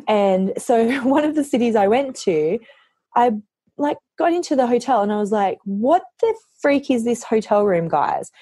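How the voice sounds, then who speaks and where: A woman author, hoarse from losing her voice, chatting casually on a podcast and telling lively stories, often quoting herself. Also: fairly high pitch, moderate pace, no room sound. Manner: animated storytelling, quotes herself.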